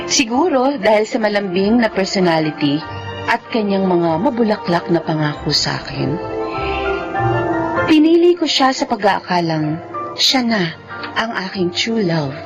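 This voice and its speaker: A woman playing a wistful young woman narrating her own love story in a Filipino radio drama, with a Filipino accent. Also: medium pitch.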